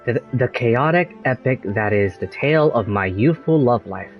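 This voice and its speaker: A man with a slightly high voice reads game dialogue aloud, tripping on long words. The sound is a bit dull, with faint music behind. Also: expressive, moderate pace. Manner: stumbles over long words.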